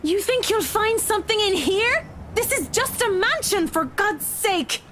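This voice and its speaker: A woman's voice: extremely high pitch, animated, a little slow, noisy background. A woman playing a frightened, stubborn young woman in a horror game, speaking in an anxious, pleading way. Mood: exasperated.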